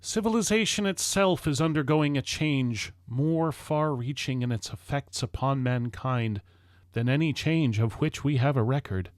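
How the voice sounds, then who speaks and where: A man reading a historical speech aloud as formal oratory, solemn and declamatory, with deliberate pauses between measured phrases. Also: medium pitch, expressive, dry recording, studio quality.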